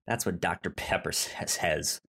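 A man co-hosting a casual film discussion, halting as he talks, often stammering and repeating syllables before he gets a word out. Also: low voice, creaky, bright, forceful tone. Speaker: podcast co-host.

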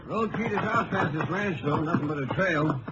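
A man's voice: fairly high pitch, normal speed, lightly acted. Man playing a folksy western sidekick, very noisy recording. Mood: easygoing, alert. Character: easygoing folksy lawman sidekick on horseback. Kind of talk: old-time radio western drama acting. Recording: muffled, very noisy vintage radio.

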